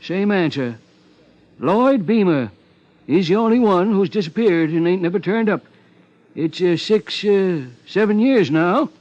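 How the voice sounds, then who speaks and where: A man's voice: high-pitched, expressive, a little slow, a little mellow. A man playing a fussy, folksy American small-town sheriff and barber, rambling and hesitant, in 1950s radio character style. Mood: folksy, musing. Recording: dull old 1950s radio broadcast recording.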